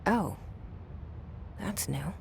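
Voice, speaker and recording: A woman's voice: lively intonation, drawn-out words, full character voice. An actress voicing a weary, determined young woman in a video game, in a dry, sardonic inner monologue. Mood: weary, wry. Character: weary, wry young woman, determined agency director thinking privately. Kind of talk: video game character narration. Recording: clear background noise and rumble.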